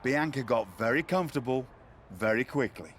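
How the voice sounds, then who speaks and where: A man's voice: medium pitch, lively intonation, normal speed, a bit bright, a little hiss or hum, close to an everyday voice. A man playing a dapper wrestling promoter with articulate, theatrical delivery and dry wit in video game cutscenes. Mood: dry, teasing.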